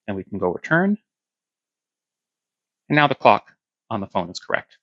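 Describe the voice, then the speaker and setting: A man's voice: fairly low pitch, sing-song, normal speed, no room sound, lo-fi sound. A man giving a hands-on product demonstration, explaining plainly step by step.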